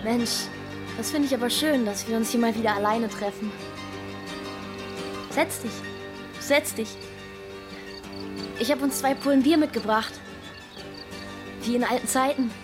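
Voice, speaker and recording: Actress doing sweet eager young woman friend, highish voice, soothing, radio drama, music behind. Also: a little slow, long pauses, close to an everyday voice. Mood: cheerful, eager. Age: young adult. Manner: gushing, hesitant, soothing.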